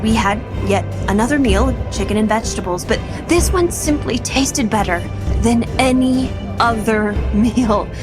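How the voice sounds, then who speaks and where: An actress playing an earnest daughter narrating a siege in a fantasy audio drama. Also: female voice, medium pitch, close to an everyday voice. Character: earnest daughter recounting the siege to her father.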